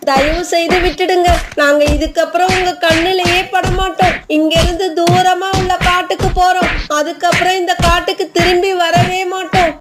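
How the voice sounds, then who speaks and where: A woman's voice: extremely high pitch, little pitch movement, normal speed, few pauses, over loud music. A woman voicing a haughty, greedy female crow in a children's cartoon, with a bossy, sneering manner. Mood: pleading, frightened.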